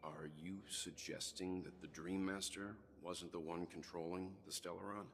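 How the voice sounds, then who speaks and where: A man playing a calm, reassuring gentleman mentor in a video game, speaking in a measured, formal way. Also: deep voice, a little hiss or hum. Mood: calm, thoughtful. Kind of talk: dramatic video game dialogue acting.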